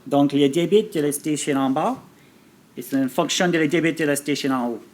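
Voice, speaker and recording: A native English-speaking man giving a conference presentation haltingly in a second language, with frequent hesitations. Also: on the high side, darkish tone. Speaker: government researcher presenting a poster pitch. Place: live forum presentation.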